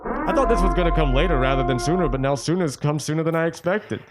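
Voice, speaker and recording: A man's voice: a little high, lively intonation, fast talker, over loud music, natural voice. A man playing a pompous boat radio host in an improvised comedy sketch, wordy and mock-serious with some stumbling. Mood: mock-grave.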